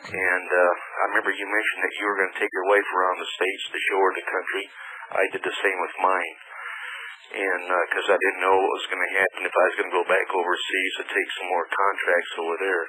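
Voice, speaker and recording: Male phone-in caller, low voice, halting earnest delivery, noisy radio call. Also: flat delivery. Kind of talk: unscripted phone-in conversation. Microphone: telephone.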